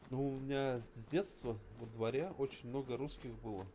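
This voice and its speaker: Mellow, easygoing Latvian man on game chat. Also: medium pitch, fairly even intonation, normal speed, slight background noise. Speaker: gamer on voice chat. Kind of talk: casual gaming chat.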